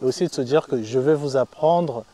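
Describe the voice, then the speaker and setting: A man's voice: medium pitch, a little fast, dark tone. A man working as an interviewer, asking long, meandering questions in a casual, conversational way.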